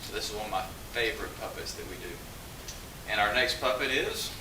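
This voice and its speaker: In a hissy, roomy recording, a man with a fairly low voice is heard some way from the mic. He is a puppeteer giving an informal explanation to an audience. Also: a little fast. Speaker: puppeteer presenter. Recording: roomy, distant mic with hiss and noise.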